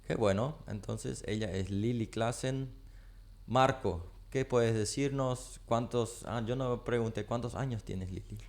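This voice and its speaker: A man's voice: medium pitch, unhurried, a little mellow. A man hosting a radio interview in a studio, asking short questions and filling in with frequent brief acknowledgements. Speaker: radio program host.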